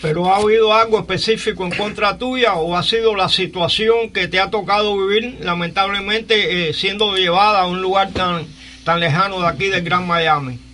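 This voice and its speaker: An older man with a Cuban accent hosting a live radio talk show, speaking haltingly and repeating phrases. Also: high-pitched, steady tone, pushed and bright, slight background noise. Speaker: radio host. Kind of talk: live call-in talk, recounting and interviewing.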